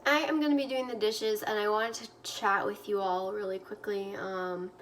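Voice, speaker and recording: A young woman with a naturally quiet, soft voice, chatting casually and rambling with lots of ums while she does the dishes at home, talking into a phone propped on the windowsill. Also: medium pitch, fairly even intonation, moderate pace, a little hiss or hum, rough recording. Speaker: vlogger and online reseller. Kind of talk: casual vlog chat while doing dishes. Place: home kitchen at the sink, water running.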